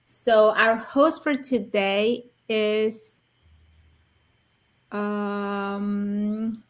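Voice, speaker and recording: A woman's voice: mid-range voice, fairly even intonation, drawn-out words. A middle-aged woman from Mexico co-hosting a livestream panel, talking casually with fillers and hesitations. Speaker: co-host and mentor.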